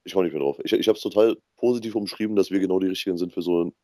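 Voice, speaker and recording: A man's voice: low voice, rapid-fire, dark tone, dry recording, clean studio sound. A man chatting casually as a podcast guest, often hesitating between phrases. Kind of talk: casual nerd chat.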